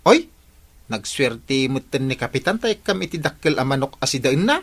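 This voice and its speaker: Lively, fussy errand helper. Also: male voice, mid-range voice, moderate pace. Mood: protesting. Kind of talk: Ilocano comedy radio drama acting.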